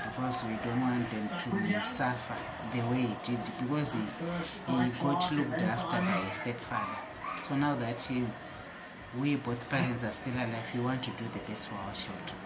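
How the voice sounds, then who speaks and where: A middle-aged woman answering interview questions in a living room, speaking in a measured way with hesitations and restarts. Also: extremely low pitch, rough recording. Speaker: interviewee, wife of the celebrant. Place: living room with a display cabinet. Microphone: camera in front, at close range.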